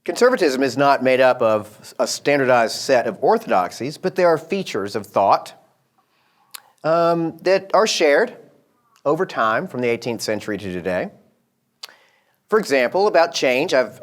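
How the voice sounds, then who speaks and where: A middle-aged man from Georgia with a slight Southern accent, giving a lecture with many pauses and fillers. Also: mid-range voice. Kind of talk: lecture to an audience.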